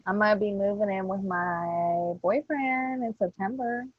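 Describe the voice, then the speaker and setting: A woman's voice: medium pitch, unhurried, no echo. A woman in casual podcast chat, talking candidly and informally with some casual swearing. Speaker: podcast cast member. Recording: dull lo-fi audio.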